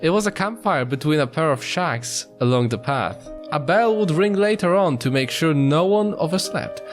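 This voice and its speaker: Animated man reading a story aloud. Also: fairly high pitch, normal speed. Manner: expressive, stumbles over words. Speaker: streamer, narrator. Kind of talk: reading visual novel narration aloud. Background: music.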